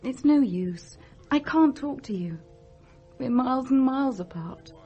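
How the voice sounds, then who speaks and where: A woman's voice: high voice, animated, unhurried, husky, dull audio, soft background music, full character voice. An actress playing a restless, candid young upper-class Englishwoman in a radio drama, breezy and impatient. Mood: wistful.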